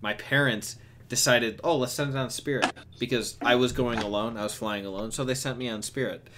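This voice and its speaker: A man's voice: medium pitch, fairly quick. Sweary young man on a noisy mic. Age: young adult. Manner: animated, sweary, exasperated ranting. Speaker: streamer on a group voice chat. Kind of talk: casual chat and storytelling. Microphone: computer mic on a voice chat. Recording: noisy voice chat with clicks.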